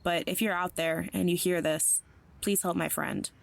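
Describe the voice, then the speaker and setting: A woman playing an earnest, worried friend in an audio drama, with a creaky voice. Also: on the low side, fairly even intonation, moderate pace. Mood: pleading. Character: Olivia, earnest worried human friend pleading for help.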